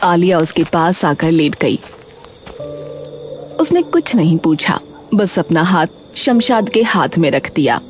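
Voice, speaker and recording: A woman narrator reading a scripted dramatic story for an animated tale. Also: lowish voice, takes it slowly, long pauses. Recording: music behind the voice.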